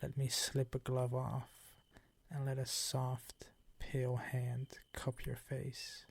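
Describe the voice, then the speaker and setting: Man playing a slow, gravelly vampire count. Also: medium pitch, monotone. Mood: soothing, possessive. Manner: slow, ornate, condescending seductive purr. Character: suave, menacing older male vampire count, possessive and hungry. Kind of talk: ASMR roleplay monologue.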